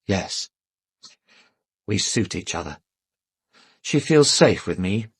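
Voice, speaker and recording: A well-educated English gentleman in a radio drama. His voice is fairly low and noticeably husky, recorded dry. He is polite but guarded and defensive, with a weary, wry self-deprecation. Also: expressive, slow pace, no room sound, heavily acted. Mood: wry, confessional. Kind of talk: British radio drama acting.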